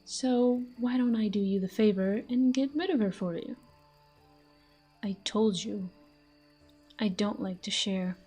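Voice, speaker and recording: A woman playing a possessive, teasing fantasy villain in an intimate audio roleplay, with a soft, breathy voice and a smug manner. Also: medium pitch, unhurried, pauses often, soft background music. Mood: jealous, teasing. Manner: smug, taunting, breathy murmurs. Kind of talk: intimate scripted audio roleplay addressed to the listener.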